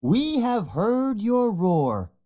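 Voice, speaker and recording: A storybook narrator voicing a wise, excitable male shaman mentor who proclaims ceremonially. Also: mid-range voice, very slow, highs cut off, voice pushed up, exaggerated voice. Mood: excited, proclaiming.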